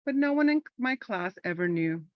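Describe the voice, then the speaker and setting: A woman's voice: medium pitch, lively intonation, normal speed, no room sound, highs cut off. A woman from Los Angeles whose first language is Spanish, an army veteran performing a personal monologue in an earnest, reflective storytelling manner. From Los Angeles, California.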